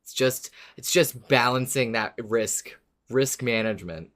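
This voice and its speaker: An easygoing co-host chats casually on a podcast. The manner is agreeable and giggly, in a relaxed conversational flow. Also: medium pitch, unhurried. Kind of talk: casual chat.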